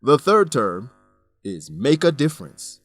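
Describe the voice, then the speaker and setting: A male narrator teaching English vocabulary from a script, with a medium-pitched voice and deliberate pauses. The recording is dry and close, with some music behind him. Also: sing-song, no echo.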